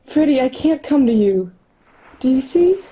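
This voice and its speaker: Very dark, husky, grieving woman, acted. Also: high voice, expressive, moderate pace. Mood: grieving, firm. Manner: hesitant, sorrowful. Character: anxious, grieving woman in love with a programmer. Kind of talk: radio play acting.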